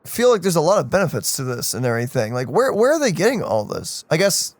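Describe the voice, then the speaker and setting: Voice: mid-range voice, big pitch swings, quick pace, no echo. A let's play co-host chatting casually about the game, with music behind. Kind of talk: casual gameplay commentary.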